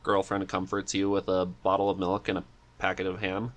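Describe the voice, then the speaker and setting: A man's voice: low voice, monotone, brisk, a bit bright. A man hosting a podcast, chatting casually with a guest in a laid-back, dryly playful way. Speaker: podcast host. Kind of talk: casual interview chat.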